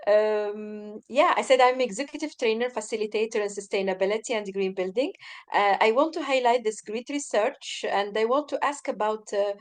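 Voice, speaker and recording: A woman's voice: mid-range voice, normal speed. A woman from Tunisia, speaking as a participant in an online meeting and searching for words. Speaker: audience participant, executive trainer. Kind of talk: asking a question in an online meeting.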